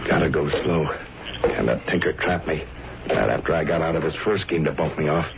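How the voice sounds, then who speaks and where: A man's voice: a bass voice, fairly quick, gravelly. A man playing a menacing, vengeful gangster with slangy tough-guy talk in a radio drama. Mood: grim, plotting. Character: menacing, vengeful, double-crossed male gangster with a gun. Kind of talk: 1940s radio drama acting. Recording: noisy old muffled radio recording.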